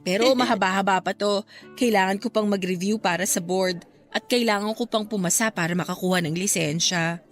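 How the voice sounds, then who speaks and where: A woman's voice: medium pitch, a little fast, soft background music, lightly acted. A woman playing a grateful, wistful young woman in a Filipino radio drama, speaking with a Filipino accent. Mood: earnest, wistful. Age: young adult. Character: grateful, wistful young woman, newly graduated, missing her late love.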